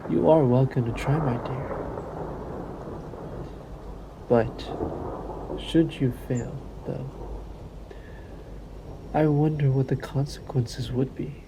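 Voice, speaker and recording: A man's voice: mid-range voice, steady tone, slow pace, long pauses, noisy background. A man playing a centuries-old vampire in a roleplay audio drama, sadistic and possessive, with formal, silky menace and condescending endearments. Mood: silky threat.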